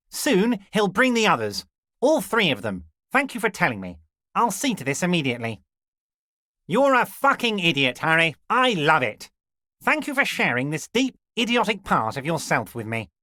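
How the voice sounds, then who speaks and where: An actor playing a scheming, jovial middle-aged union boss in a video game, folksy, flattering and sly. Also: male voice, high-pitched, no room sound, clean studio sound, exaggerated voice.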